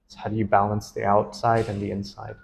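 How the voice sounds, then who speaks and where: A man's voice: on the low side, completely flat delivery, brisk, gravelly, slight background noise. A man, a dream analyst, interviewing a client in an earnest, enthusiastic and easily laughing way. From United States. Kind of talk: casual interview.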